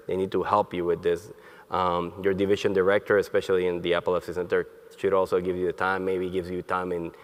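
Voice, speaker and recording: A man born in Colombia and raised in Puerto Rico, giving a conference lecture in a halting way with many fillers and restarts. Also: deep voice. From born in Colombia, grew up in Puerto Rico. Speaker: neurologist, conference speaker. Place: conference hall. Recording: long hall reverb.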